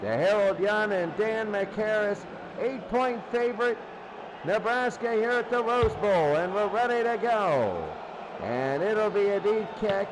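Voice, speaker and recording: High-voiced male football play-by-play announcer, noisy recording. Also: expressive, measured pace. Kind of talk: live American football play-by-play commentary.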